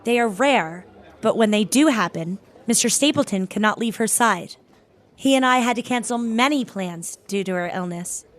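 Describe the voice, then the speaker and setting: Casting: actress playing a curt, dignified woman with something to guard; slightly high voice, formal, composed, audio play delivery. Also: expressive, normal speed, close to an everyday voice. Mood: earnest. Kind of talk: audio drama acting.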